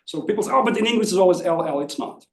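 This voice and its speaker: A man's voice: high-pitched, very fast. A man giving spontaneous academic answers, explaining his points and often checking in with "right?". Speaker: academic presenter answering questions. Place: indoor conference room. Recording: echoey room, distant mic.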